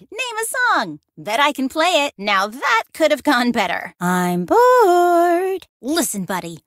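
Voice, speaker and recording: A woman's voice: high voice, no room sound, studio quality. An actress playing a cheerful, friendly young woman bard in a video game, bubbly, folksy and adventurous. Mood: miffed, playful. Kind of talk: animated video game character lines.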